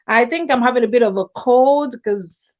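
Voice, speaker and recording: A woman hosting a casual livestream chat, animated and chatty, a little nasal from a cold. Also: on the high side, normal speed, muffled recording. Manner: chatty, animated, laughs often. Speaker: host. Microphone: webcam.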